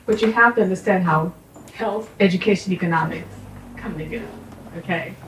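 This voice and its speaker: A woman's voice: mid-range voice, brisk. Middle-aged American woman, professor type, emphatic public speaker, distant mic in a live room. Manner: emphatic, instructive, checks in with listeners. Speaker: speaker, sociology professor giving a presentation. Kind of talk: informal public talk to a community audience. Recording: roomy, distant microphone with faint background noise.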